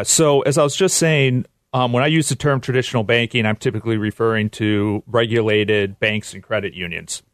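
A man with an average-pitched voice explains things conversationally on live radio. He is informal and addresses the room, and the studio sound is dry and close. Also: dry recording. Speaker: commercial lending executive, radio guest.

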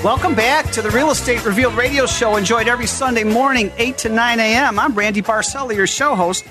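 A man's voice: very high-pitched, a little fast, music in the background. A man hosting a radio talk show, upbeat and enthusiastic, speaking into a studio mic.

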